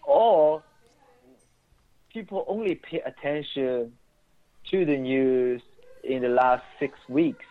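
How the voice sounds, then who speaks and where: A man's voice: medium pitch, slow, long pauses. A man with a Chinese accent, a native Chinese speaker, hosting a conversational political interview in a rambling way with repeated words and check-in tags. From Chinese-speaking region, immigrated to Canada. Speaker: host of a community media outlet. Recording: thin phone-like sound.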